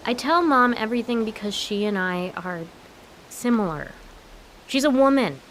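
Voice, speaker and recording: A woman's voice: a little high, expressive, moderate pace, a bit bright, slight background noise. A young woman playing a strong-willed, frustrated grown daughter in a naturalistic comedic radio play, emphatic and argumentative. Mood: defensive, wry.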